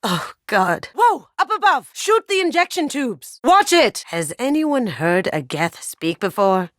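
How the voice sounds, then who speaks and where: A woman's voice: on the high side, big pitch swings, unhurried, a bit bright, no room sound, clean studio sound. A woman voicing a playful, wry young master thief in a sci-fi video game, with casual, cheeky delivery. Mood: uneasy, subdued, then urgent, alarmed. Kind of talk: video game squad voice lines.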